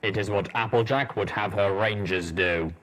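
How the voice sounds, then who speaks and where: A man voicing a taciturn male ghoul pony ranger who speaks slowly in a gruff rumble. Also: fairly bright tone, natural voice. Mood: dry. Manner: slow, measured, rumbling.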